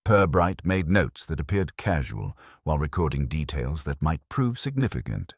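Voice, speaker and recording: A man narrating a scripted mystery story in a formal, measured way, like an audiobook. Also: rumbling low, sing-song, slightly rough, no room sound, studio quality.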